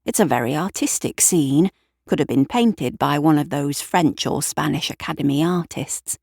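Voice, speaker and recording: A woman narrating a scripted audio drama in a measured, deliberate storytelling manner. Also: deep voice, darkish tone, husky, dry recording, studio quality. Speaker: writer and narrator.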